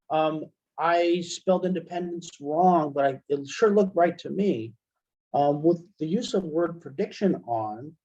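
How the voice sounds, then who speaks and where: A man giving a casual software demonstration as an instructor, conversational and thinking aloud as he goes. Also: fairly high pitch. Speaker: instructor, webinar presenter. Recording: dull, band-limited webinar audio.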